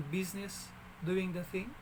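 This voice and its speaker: Man, Filipino accent, casual vlog storytelling, halting with restarts, high-pitched, noisy background. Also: steady tone. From Philippines. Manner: halting, many restarts and fillers.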